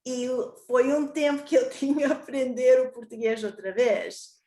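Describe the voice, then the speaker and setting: A woman speaks slowly on a panel, searching for her words and stopping often. Her voice sits fairly high. The room around her gives a noticeable echo. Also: warm tone. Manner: slow and searching, speaking a second language with effort. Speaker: panel guest. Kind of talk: online panel interview.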